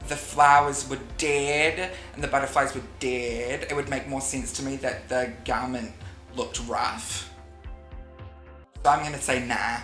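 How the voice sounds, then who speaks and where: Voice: medium pitch, fairly even intonation, unhurried, a bit bright, soft background music. A co-host in a casual review chat, enthusiastic and playful, explaining each verdict. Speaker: co-host of a drag show review. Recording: echoey room.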